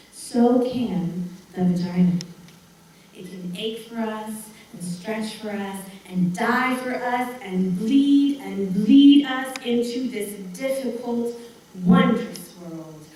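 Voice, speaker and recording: A woman performing a theatrical monologue on stage, with dramatic, deliberate phrasing broken by pauses. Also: mid-range voice, expressive, mellow. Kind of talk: theatrical spoken monologue recitation. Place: large hall or stage, recorded from afar. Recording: very echoey hall, distant mic, faint noise.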